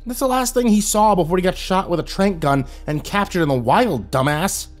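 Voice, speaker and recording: A man's voice: high-pitched, normal speed, soft background music, voice pushed up, natural voice. A man voicing a foul-mouthed young man in an audio horror story, bitter, scared and irritable, snapping out insults. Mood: furious. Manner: snapping, hissing, growling, sarcastic insults.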